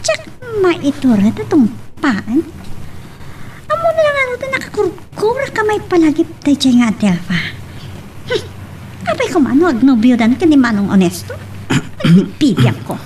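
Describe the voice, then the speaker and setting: Very high, swooping young drama woman. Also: huge pitch swings, moderate pace, pauses often, a little mellow. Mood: scheming, amused. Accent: Filipino, Ilocano. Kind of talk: Ilocano radio drama acting. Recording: clearly noisy recording.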